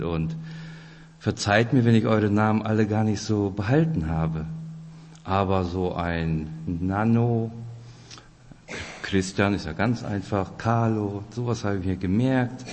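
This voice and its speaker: A man's voice: fairly low pitch, a little mellow. Man with rolled R in echoing hall. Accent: Russian-German, rolled R. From Kazakhstan. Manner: friendly, chatty, addresses listeners directly. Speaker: lay preacher at a church weekend. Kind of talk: informal sermon with personal introduction. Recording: reverberant hall.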